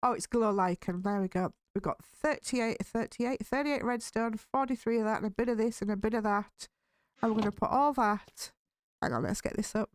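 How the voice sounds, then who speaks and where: Slightly hoarse woman, chatty gaming commentary. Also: a little high, moderate pace, no echo. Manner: chatty and cheerful, thinking aloud. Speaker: gaming video host. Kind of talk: casual let's-play commentary.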